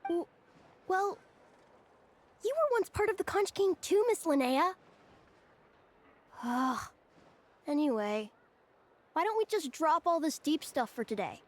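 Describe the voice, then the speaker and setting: A woman's voice: extremely high pitch, very animated, takes it slowly, long pauses, full character voice. A woman voicing a curious, impatient young female student who teases and pleads in a video game. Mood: flustered, curious.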